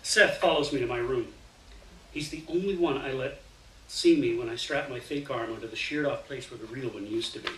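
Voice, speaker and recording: A man reading a first-person short story aloud in an expressive, dramatic way. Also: mid-range voice, a little fast. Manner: dramatic, animated delivery with acted dialogue. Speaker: reader of a short story to an audience. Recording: roomy, distant mic, faint noise.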